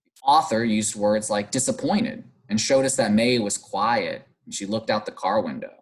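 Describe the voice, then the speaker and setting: A man teaching young children through an expressive read-aloud, pausing to explain and ask encouraging guiding questions. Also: fairly low pitch, husky. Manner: animated, encouraging, explanatory, pauses to question listeners. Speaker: primary school teacher reading aloud.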